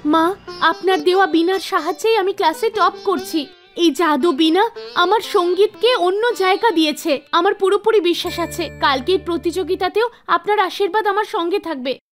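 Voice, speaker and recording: Cartoon heroine: devout young girl played by a woman, extremely high voice, earnest, music behind. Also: normal speed. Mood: devout, confident.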